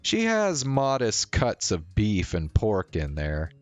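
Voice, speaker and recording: A man reading a visual novel aloud as a streamer, in measured narration with the odd stumble. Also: fairly low pitch, huge pitch swings. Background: faint music.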